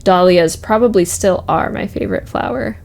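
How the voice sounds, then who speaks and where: A woman's voice: medium pitch, moderate pace, creaky, a little mellow. A woman having a casual chat as a podcast guest, informal and agreeable. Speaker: podcast guest, university administrator.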